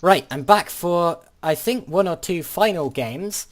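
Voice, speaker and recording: A man's voice: high voice, expressive, unhurried. A teenage boy, commenting on his gameplay with a lot of hesitation and polite, old-fashioned turns of phrase. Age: teens. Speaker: gaming channel host.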